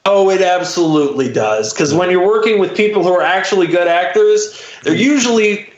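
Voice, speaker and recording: An American man chatting casually as a podcast guest, speaking in halting phrases with drawn-out hesitations. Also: sits high, animated, echoey room, highs cut off. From Florida, United States. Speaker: podcast guest, audio drama writer. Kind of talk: casual interview chat.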